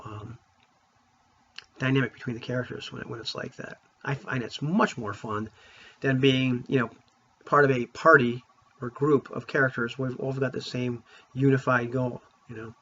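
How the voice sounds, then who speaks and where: A man talking casually to viewers as a tabletop gaming vlogger, in an informal, rambling, opinionated way, with a husky voice. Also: medium pitch, a bit bright. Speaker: vlogger on tabletop roleplaying games. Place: room at home. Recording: dull, lo-fi sound.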